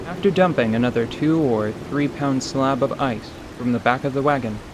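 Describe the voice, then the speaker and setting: A man giving scripted dramatic narration for a tabletop role-playing audio drama, in a measured, literary storytelling manner. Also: medium pitch, very noisy.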